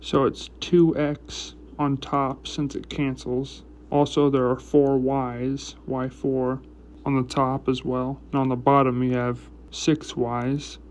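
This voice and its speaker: Man, informal lesson, deliberate choppy phrasing, very even pitch, light background noise. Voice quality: speech impediment, trips over long words. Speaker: educational video host reviewing math and chemistry. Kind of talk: informal explanatory lesson, part read from notes.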